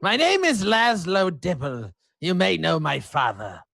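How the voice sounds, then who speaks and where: A man's voice: high-pitched, sing-song, measured pace, creaky, bright, forceful tone, dry recording, exaggerated voice. A man playing a haughty young aristocrat adventurer in a tabletop game, speaking in pompous, emphatic, formal phrases. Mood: prim.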